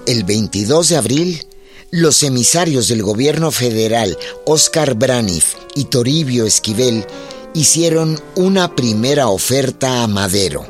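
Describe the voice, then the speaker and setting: A man's voice: mid-range voice, expressive, a little slow. A man narrating a scripted historical radio drama in a solemn, literary storytelling manner. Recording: music behind the voice.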